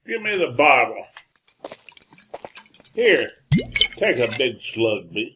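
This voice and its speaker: An actor playing a gruff, worried cowboy sidekick in a radio western, with a husky voice and an American Western accent. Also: male voice, mid-range voice, big pitch swings, takes it slowly, noisy background. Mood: urgent, guarded. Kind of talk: old-time radio western acting.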